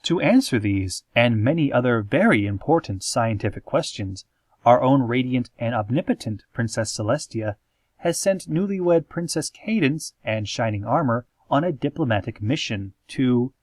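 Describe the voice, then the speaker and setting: A man playing a calm, eerie small-town radio announcer, reading scripted parody news in a measured, theatrical, deadpan way. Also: medium pitch, dry recording. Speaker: radio host in a parody podcast.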